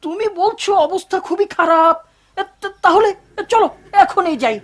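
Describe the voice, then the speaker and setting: A woman voicing a fussy old herbal healer in a cartoon folk tale, excitable and given to muttering. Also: very high-pitched, normal speed, a little hiss or hum. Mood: suspicious, hesitant. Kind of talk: animated cartoon dub.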